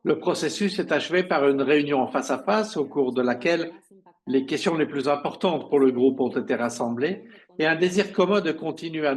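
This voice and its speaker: A male simultaneous interpreter voices conference speeches as they happen. His pitch sits in the middle for a man. He speaks haltingly, stretching out pauses as he renders each phrase.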